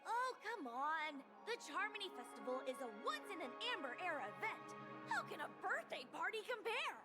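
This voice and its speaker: Very high, bubbly festival-goer. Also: female voice, sing-song, normal speed, over music. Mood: indignant. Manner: exclaiming, girlish, emphatic. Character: excitable, bubbly young female festival-goer. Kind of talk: video game voice acting.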